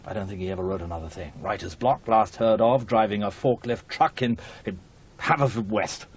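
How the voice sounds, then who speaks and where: An actor in a radio drama playing a jaded, burnt-out literary editor with a wry, wordy, bitterly witty manner. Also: male voice, fairly quick, breathy edge. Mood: wry, rueful. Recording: dull muffled recording with rumbling noise.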